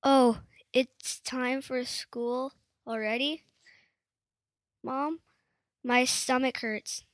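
Female as overwhelmed young boy, high voice, anxious, amateur school play, dry sound. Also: steady tone, very slow, no room sound. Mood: worried. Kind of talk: school readers' theatre.

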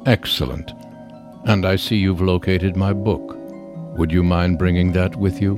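A man's voice: deep voice, fairly even intonation, a little slow. A man playing a giant telepathic alien, formal and politely menacing. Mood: polite menace. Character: giant telepathic male alien, courteous and sinister. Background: music.

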